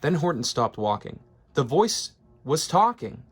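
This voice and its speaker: A man's voice: a little high, very animated, a little slow, breathy edge, faint music behind. A man reading a rhyming children's story aloud expressively, as narrator. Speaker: storybook reader.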